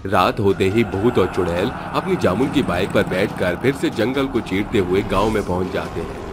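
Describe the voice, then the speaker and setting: A man's voice: lowish voice, moderate pace, over loud music. A man narrating a scripted cartoon story.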